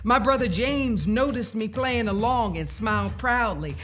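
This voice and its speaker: A woman reading a children's story aloud in the first person, with deliberate, expressive storybook delivery. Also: fairly high pitch. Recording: music playing behind the voice. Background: music.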